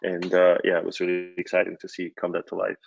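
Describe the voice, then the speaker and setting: Swiss guy in his 40s giving a conference talk, low flat voice, lots of uhs. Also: quick pace, vocal fry, highs cut off. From Switzerland. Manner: relaxed, many fillers and restarts. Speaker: conference speaker, company CEO. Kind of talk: presentation talk.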